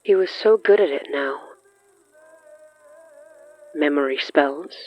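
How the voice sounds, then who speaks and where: A dull, lo-fi recording with faint music underneath carries a narrator of horror fiction. The reading is very slow and brooding, dramatic in its delivery, with long pauses left between phrases. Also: medium pitch. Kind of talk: scripted horror fiction narration, third person. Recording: muffled lo-fi sound with music underneath.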